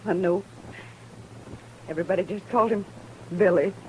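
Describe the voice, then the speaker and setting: A man's voice: high-pitched, normal speed, close to an everyday voice, poor audio. An actor playing a townsman in an old radio Western drama. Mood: subdued, halting. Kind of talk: 1950s radio drama acting. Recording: old radio recording with faint noise.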